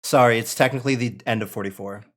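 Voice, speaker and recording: A man narrates a screenplay at a table read. He reads scene headings and stage directions in a mid-pitched voice. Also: fast, a bit bright.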